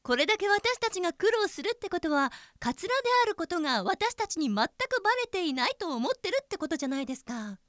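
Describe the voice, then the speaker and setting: A woman's voice: very high-pitched, very animated, quick pace, few pauses, a bit bright, no room sound, clean studio sound, full character voice. A woman playing an earnest, cheeky female office employee with a perky, polite manner in a comic radio drama. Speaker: voice actor.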